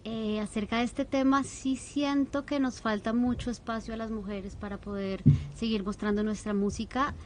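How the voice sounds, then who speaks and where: Calm Colombian woman, slightly high voice. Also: normal speed, a little hiss or hum. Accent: Colombian Spanish. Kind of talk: interview answers.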